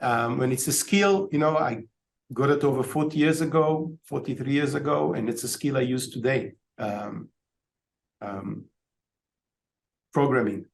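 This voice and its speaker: A man's voice: mid-range voice, measured pace, vocal fry, slight background noise. A man in his fifties from Israel, talking as a guest over a video call, telling stories with many ums and restarts. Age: 50s. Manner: storytelling, frequent ums and restarts. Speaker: guest, IT company owner. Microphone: laptop webcam on video call.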